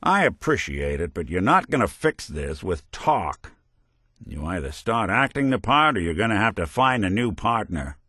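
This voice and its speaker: A man's voice: fairly low pitch, sing-song, moderate pace, sharp tone, dry recording, studio quality, full character voice. A male actor playing a world-weary, principled android detective in a video game, with a wry, folksy hardboiled way of talking and old-fashioned slang. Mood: stern. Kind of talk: video game companion dialogue, noir detective.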